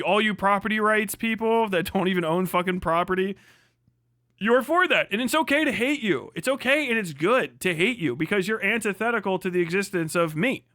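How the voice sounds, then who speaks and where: A man's voice: high-pitched, sharp tone, no echo, clean studio sound. A man from the United States giving a casual, profane opinion rant as a livestream host, blunt, irreverent and emphatic.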